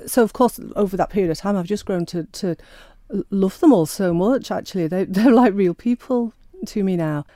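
Author guest, woman, mellow lowish voice, chatty and hesitant, dry close sound. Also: normal speed, few pauses, no room sound. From Sheffield, England. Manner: chatty, hesitant with many ums and restarts. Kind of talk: radio interview.

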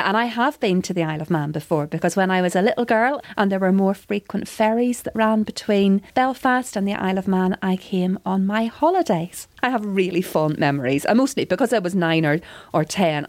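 A woman talks as a guest in an interview. She is a deacon and speaks conversationally at an average pitch. The sound is dry. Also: fairly quick, a little mellow, no echo. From Northern Ireland.

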